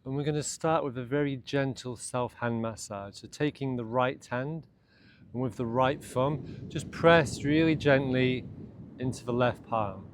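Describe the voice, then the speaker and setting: A man leads a guided morning meditation and self-massage in a gentle, unhurried, soothing way, speaking in short phrases. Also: mid-range voice. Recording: clear steady background ambient noise.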